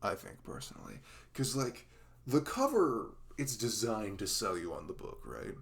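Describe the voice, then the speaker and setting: Man playing a dorky best friend, very deep, gravelly. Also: sing-song, a bit bright, full character voice. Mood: enthusiastic, rambling. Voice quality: velvety voice. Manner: rambling, halting, teasing. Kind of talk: ASMR roleplay.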